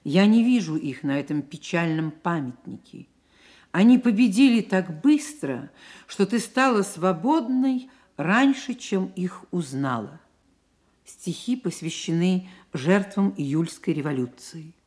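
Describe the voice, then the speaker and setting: Woman narrating old soviet radio play, slowish and thoughtful, slightly low voice, literary style. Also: slightly rough. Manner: measured, expressive, reflective pauses. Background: music.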